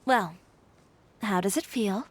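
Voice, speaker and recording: A woman's voice: mid-range voice, slow, a little hiss or hum, close to an everyday voice. A woman playing a composed, assertive young fashion designer in a video game, cool and casually reflective. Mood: relaxed.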